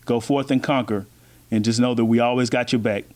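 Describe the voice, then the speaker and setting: A man's voice: mid-range voice, steady tone, fairly quick, a little mellow. Heartfelt man addressing graduates. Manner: heartfelt, encouraging.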